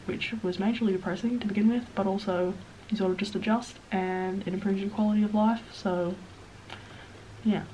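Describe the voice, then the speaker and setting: A young Australian woman in her twenties chats to camera with a blocked-up, nasal voice from a cold. She rambles in a self-deprecating way and sometimes drops to a hushed whisper. A faint hiss sits under the recording. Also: mid-range voice, dull audio. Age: 20s. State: sniffly, stuffed-up nose. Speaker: vlogger. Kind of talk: casual Q&A chat to camera.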